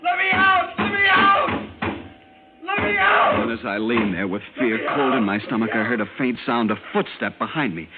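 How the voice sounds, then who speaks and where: A man's voice: medium pitch, wildly swooping pitch, normal speed, long pauses. A man playing a frightened lieutenant, telling his strange story intensely in an old-time radio drama. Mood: panicked shouting, then fearful. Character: frightened military lieutenant recounting a strange captivity, urgent and haunted. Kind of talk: old-time radio drama acting, first-person narration. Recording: noisy, narrow-band old radio recording.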